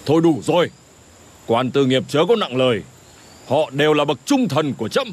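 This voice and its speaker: An actor playing an irritable, dismissive young king in an animated historical drama, curt and imperious. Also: on the high side, normal speed. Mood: irritated, dismissive.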